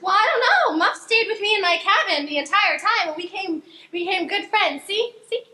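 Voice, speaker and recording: A very high, bright little-girl voice, acted by a woman on an echoey stage. The daughter is earnest, sweet and pleading, in live improv comedy. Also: animated, a little fast, exaggerated voice. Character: earnest young daughter back from camp, fond of pet spider. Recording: echoey stage with some noise.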